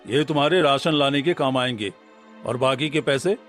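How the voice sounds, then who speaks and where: A man's voice: mid-range voice, normal speed, a bit bright, faint music behind, natural voice. A man playing a middle-aged village headman, an authoritative father of the bride, in a Hindi cartoon story. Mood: businesslike.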